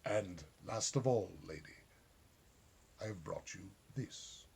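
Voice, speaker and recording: A man's voice: low-pitched, slow, gravelly, darkish tone, husky, voice pushed down, heavily acted. A man voicing a gallant, earnest young knight of legend, solemn and courtly in a storybook reading. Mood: reverent.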